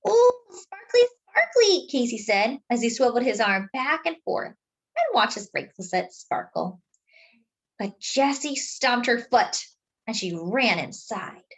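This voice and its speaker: A woman reading a picture book aloud to children expressively, adding simple explanatory asides in a deliberate way. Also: on the high side, animated, a bit bright, low-quality recording. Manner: deliberate, explanatory for young listeners. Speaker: children's story reader.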